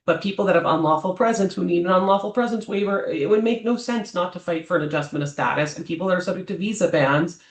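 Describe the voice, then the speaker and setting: Man as an immigration lawyer explaining options; fairly high voice, loose off-the-cuff delivery full of "um" and "right?", recorded in a reverberant room. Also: quick pace, hardly any pauses. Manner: talks off the cuff, ends many points with a questioning "right?"; frequent "um" fillers and restarts. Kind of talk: explanatory advice talk to clients. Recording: roomy echo.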